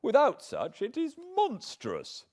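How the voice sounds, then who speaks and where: A man's voice: extremely high pitch, huge pitch swings, slow, a little mellow, voice pushed up, full character voice. A man voicing an earnest younger doctor in a gothic novel, grieving and indignant, articulate and urgent in his pleading. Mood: indignant protest.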